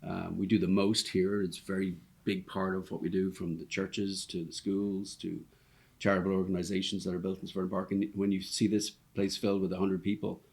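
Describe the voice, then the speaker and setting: A man's voice: deep voice, flat delivery, brisk, creaky, darkish tone, slightly rough. A man in his fifties with a Dublin Irish accent, a restaurant owner telling his story in an easygoing, rambling way with plenty of ums. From Ireland. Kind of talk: casual interview chat. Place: inside one of his restaurants.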